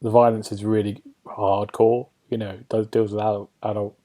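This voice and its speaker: A man's voice: fairly low pitch, little pitch movement, normal speed, warm tone. A man hosting a podcast, chatting casually about games and television in a rambling, enthusiastic way. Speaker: podcast host.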